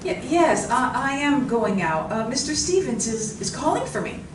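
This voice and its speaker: Heard from afar in a roomy space, an actress plays an anxious, proper mother. Her voice swings widely in pitch and she sounds flustered and hesitant, radio drama style. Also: big pitch swings, moderate pace. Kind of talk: live radio drama acting. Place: library community room, live performance. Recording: echoey room from far off, noisy.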